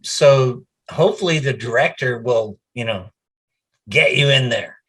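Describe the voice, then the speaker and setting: A man's voice: mid-range voice, a little slow, a bit bright, husky. A man speaking as a voice actor being interviewed, chatting casually and telling stories with quoted bits of conversation.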